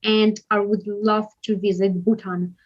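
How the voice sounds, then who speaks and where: A woman's voice: mid-range voice, level tone, unhurried, dull audio. A woman with a Polish accent, a wellness coach answering interview questions hesitantly, with many fillers. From Poland.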